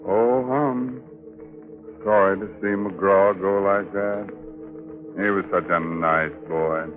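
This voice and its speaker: An actor playing a ghoulish horror host, droll and mock-sympathetic, narrating tongue-in-cheek 1940s radio. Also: male voice, low-pitched, steady tone, slow pace. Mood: mock sorrow. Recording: muffled 1940s radio with music.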